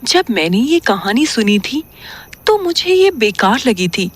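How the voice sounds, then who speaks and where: A woman's voice: a little high, sing-song, unhurried. A woman playing an earnest young woman caught in a haunting, in a horror audio drama. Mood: uneasy, urgent.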